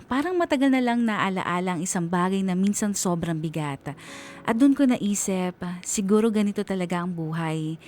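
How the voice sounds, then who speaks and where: A woman's voice: lowish voice, a little mellow. A woman with a Filipino accent giving a scripted, dramatic first-person story narration for radio. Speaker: radio DJ reading a listener's story.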